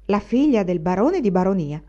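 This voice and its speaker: A woman's voice: medium pitch, big pitch swings, moderate pace, mellow, lightly acted. Woman doing a young man student, exuberant, raised voice, lo-fi. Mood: boastful. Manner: exuberant, boastful. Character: young male student, eager and excitable. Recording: dull lo-fi recording.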